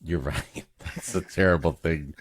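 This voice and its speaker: Low voiced guy bantering and reading a story all mock-dramatic, close dry sound. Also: unhurried, slightly rough, no echo. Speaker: podcast host reading a story aloud.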